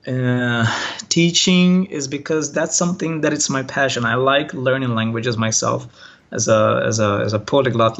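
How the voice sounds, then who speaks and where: A man from Brazil, a Portuguese native speaker, talks haltingly, with plenty of fillers and restarts. His voice sits at an ordinary pitch and comes through a dull, muffled video call line. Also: fairly bright tone. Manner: hesitant, many fillers and restarts. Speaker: online language teacher, interviewee. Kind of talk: casual interview answers.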